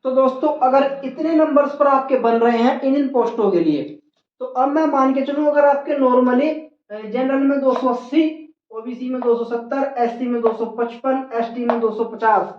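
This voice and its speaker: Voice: sits high, steady tone, normal speed. A presenter explaining things informally to exam candidates, in an instructive way that repeats key figures for emphasis. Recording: muffled, echoey room recording.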